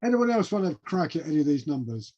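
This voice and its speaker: A man's voice: on the high side, sing-song, fast, mellow, highs cut off, slight background noise. A man casually moderating a class and calling on participants.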